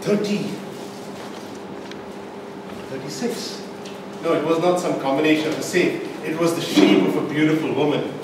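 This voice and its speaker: A distant, very echoey recording with clear background noise catches a man giving a comic storytelling speech. He holds dramatic pauses and leans hard on key phrases, building up each point theatrically for a live audience. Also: fairly high pitch. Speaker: club speaker telling a tall tale in a speech contest. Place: indoor meeting room, recorded from the audience.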